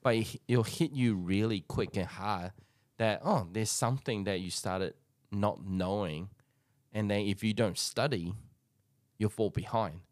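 A man's voice: lowish voice, a little slow, no room sound, clean studio sound. A young man from Taiwan chatting on a podcast in a thoughtful, rambling way with lots of hedges. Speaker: podcast co-host. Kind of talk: casual chat.

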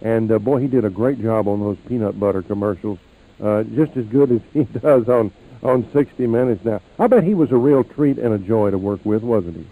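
A man's voice: on the low side, brisk, phone-quality sound. A man hosting an interview in a casual, chatty and rather wordy conversational way. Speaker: interview host.

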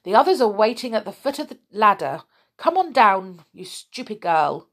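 Woman as a brisk, bossy, raised-voice storybook fellow. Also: mid-range voice, very animated, voice pushed up. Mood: bossy, exasperated. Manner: emphatic, commanding, impatient. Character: brisk, exasperated, bossy male fairy-folk rescuer.

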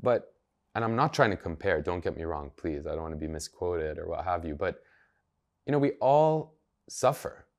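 A man's voice: sits low, lively intonation, moderate pace. A man, a journalist and activist, speaking earnestly and emphatically as a podcast guest, thinking aloud as he goes. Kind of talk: podcast interview conversation.

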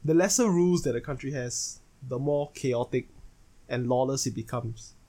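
A Singaporean man in his twenties delivers a solo podcast monologue as host, in measured, phrase-by-phrase fashion. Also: mid-range voice, dark tone. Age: 20s.

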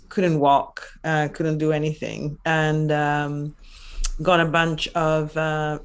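A woman in her forties from Italy with an Italian accent, speaking casually as an interview guest. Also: deep voice. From Italy, Venice area. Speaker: podcast guest, yoga teacher.

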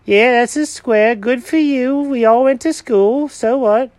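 A man voicing an excitable, bossy male cat, talking high and theatrical. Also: unhurried, dry recording. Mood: indignant.

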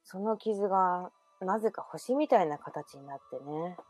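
A woman's voice: on the low side, animated, measured pace, soft background music. A woman performing in an amateur radio drama as a young woman who confides memories of a past life. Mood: mysterious.

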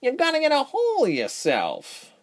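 A man's voice: extremely high pitch, huge pitch swings, normal speed, pitched above the performer's own voice, exaggerated voice. A man voicing a scolding, level-headed male companion in a story.

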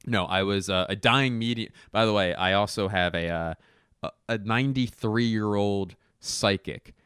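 Low, smooth-voiced man chatting dryly. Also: fairly bright tone, dry recording, clean studio sound. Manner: rambling, self-mocking, decisive-sounding, quick asides and restarts. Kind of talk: casual solo chat.

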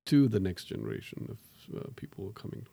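A middle-aged man with a low, gravelly voice and a mellow tone speaks as a guest in an interview. He reflects thoughtfully and pokes fun at himself, pausing on fillers and restarting his phrases. The sound is dry and close. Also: animated, no room sound. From born in Tanzania to Indian parents. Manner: thoughtful, self-deprecating, many fillers and restarts.

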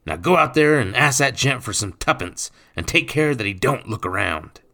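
A man voicing a rough, bullying, thieving stepfather in an audiobook, gruff and coarse in his grammar. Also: lowish voice, animated, moderate pace, sharp tone, a little hiss or hum, voice pushed up, full character voice. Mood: scheming, barking. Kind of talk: audiobook character voice.

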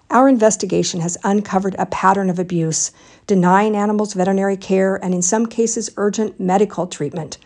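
A woman's voice: medium pitch, mellow. Woman reading a formal prepared statement. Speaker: organization president and founder.

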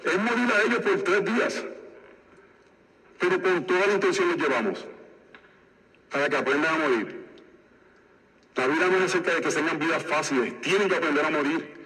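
A man's voice: fairly high pitch, lively intonation, moderate pace, pauses often, sharp tone. A man preaching a sermon as pastor of a church, with a slight lisp and an emphatic, repetitive way of exhorting the congregation. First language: Spanish. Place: church sanctuary. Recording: echoey hall, voice from far off.

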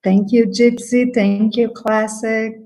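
A woman's voice: fairly high pitch, little pitch movement, slow pace, mellow, slight background noise. A woman in her fifties from Brazil with a Brazilian Portuguese accent, answering interview questions hesitantly with many fillers. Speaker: guest, attorney and novelist.

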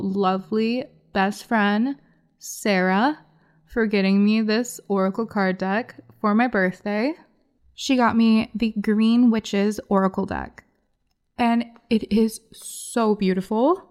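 A woman's voice: medium pitch, darkish tone, clean tone. A woman podcast host, a little congested, doing a solo card reading in an upbeat, encouraging way. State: congested from a cold.

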